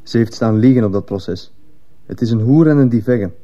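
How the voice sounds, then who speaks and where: A man's voice: mid-range voice, moderate pace, warm tone, highs cut off, natural voice. A man playing a cold, defiant teenage schoolboy in a radio drama, speaking curtly and defensively. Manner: curt, defensive, insolent. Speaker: actor in a radio play. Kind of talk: Dutch-language radio drama acting.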